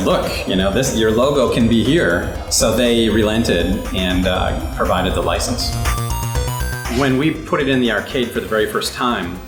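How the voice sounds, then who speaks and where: Game designer, man, low voice, brisk anecdotes, echoey room, music bed. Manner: brisk storyteller, quick asides. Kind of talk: interview anecdote.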